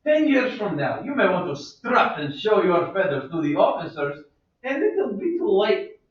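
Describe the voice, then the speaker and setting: Guy doing a nagging old house servant, high and bouncy voice, sounds far away in an echoey room. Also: big pitch swings, moderate pace, dull audio. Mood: coaxing. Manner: coaxing, scolding, keeps saying ma'am. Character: old male servant, fussy and pleading. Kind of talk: amateur play reading.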